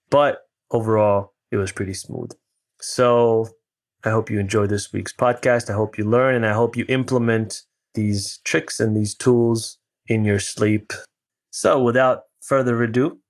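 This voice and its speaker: A man hosting a casual podcast interview, chatty and upbeat. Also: on the low side. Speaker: podcast host.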